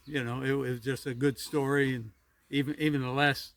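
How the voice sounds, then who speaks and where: A man in his seventies from New Jersey telling his life story in a casual interview, halting and repeating words with little chuckles. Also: medium pitch, little pitch movement, a bit bright, no room sound. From New Jersey, USA. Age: 70s. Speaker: interviewee, retired pilot.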